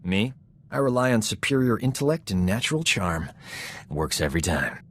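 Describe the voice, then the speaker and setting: An actor playing a cocky, flirtatious combat medic in a science fiction video game, with a breezy, boastful, wisecracking delivery. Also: male voice, on the low side, big pitch swings, brisk, fairly bright tone, a little hiss or hum. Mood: boastful, wry. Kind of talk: video game voice acting, character dialogue.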